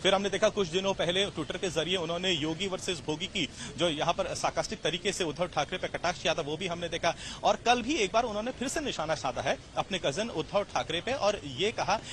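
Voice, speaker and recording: A man gives a live field report in a high-pitched voice. He hesitates as he starts and mixes in English words. Faint urban outdoor noise sits behind him. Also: fairly quick, few pauses, fairly bright tone. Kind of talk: live unscripted news report.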